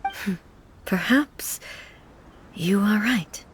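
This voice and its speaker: An actress playing a composed, formal female ruler in a video game, speaking in a measured, reassuring way. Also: medium pitch, lively intonation, slight background noise. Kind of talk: scripted video game dialogue.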